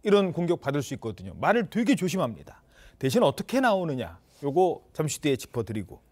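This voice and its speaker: A man hosting a television political talk show, setting up topics and putting questions to his panel in an animated conversational way. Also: fairly high pitch, fast talker. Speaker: news talk show host.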